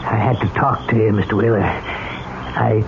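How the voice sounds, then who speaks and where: Casting: nervous backwoods cabbie-killer, radio drama, unhurried halting delivery, noisy old broadcast. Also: male voice, fairly low pitch, steady tone, creaky, highs cut off. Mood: anxious, confessing. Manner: halting, plain folksy speech. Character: uneasy, simple rustic cab driver turned hired killer. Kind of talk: 1970s radio drama acting.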